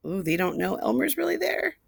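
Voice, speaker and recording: A woman storyteller reading a picture book expressively to young children, deliberately, with asides and questions to the listeners. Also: on the high side, big pitch swings, creaky, slightly rough, no room sound, studio quality. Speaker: read-aloud storyteller.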